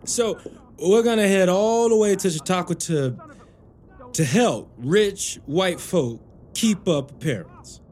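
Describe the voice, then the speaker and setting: A man's voice: high-pitched, slow. An actor playing a devoted but wary father and former slave in a period audio drama, with a folksy manner. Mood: skeptical, uneasy. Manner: folksy, expressive.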